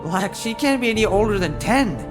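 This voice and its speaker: A man's voice: high voice, expressive, fairly quick, music in the background. A man playing an earnest, cautious detective partner with dry sarcasm in a scripted audio drama. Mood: shocked, sorrowful. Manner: earnest, questioning, lightly sarcastic.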